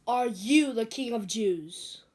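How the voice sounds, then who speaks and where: A woman's voice: a little high, expressive, takes it slowly, a little mellow, a little hiss or hum. A woman reading the part of a Roman governor who questions a prisoner and crowd, in an amateur church dramatic reading. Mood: questioning.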